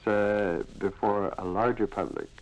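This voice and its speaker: Male interviewer, fairly low voice, polite and halting, feeling his way through each question. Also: fairly even intonation, poor audio. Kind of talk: unscripted radio-style interview.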